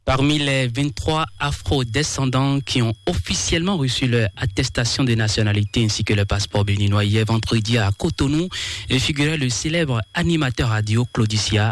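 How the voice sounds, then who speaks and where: A man, presenting the radio news and asking interview questions in a measured, formal way. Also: medium pitch, slight background noise.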